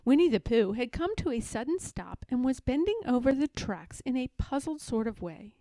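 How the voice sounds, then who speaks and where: A woman's voice: high-pitched, big pitch swings, normal speed, warm tone, no room sound. A woman reading a children's storybook aloud as a narrator. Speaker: storybook reader.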